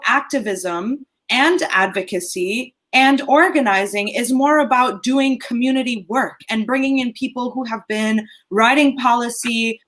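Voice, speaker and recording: Female host, casual live talk, responds to viewer comments, slightly high voice. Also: fairly bright tone. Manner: reads viewer comments then gives her own view. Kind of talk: casual live show hosting.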